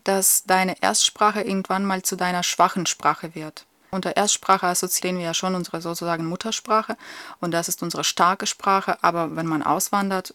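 A woman's voice: fairly low pitch, fairly bright tone, no echo. Woman explaining in dry sound. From Russia. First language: Russian. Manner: explains with examples, often adds tag "ne?". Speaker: interviewed lecturer. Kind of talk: explanatory interview answers.

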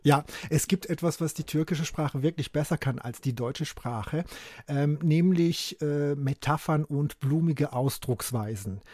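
A man's voice: on the high side, measured pace, a little mellow, no room sound. An author from Germany with Turkish as his mother tongue, a man talking emphatically as a guest in a dry studio-like interview. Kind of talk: conversational interview answers.

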